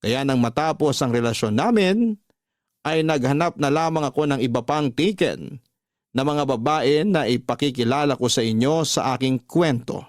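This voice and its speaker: A man's voice: medium pitch, normal speed, no room sound, studio quality, close to an everyday voice. An actor playing a heartbroken, self-reflective man who tells his love story in a radio drama. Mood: confiding. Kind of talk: dramatized first-person scripted radio narration.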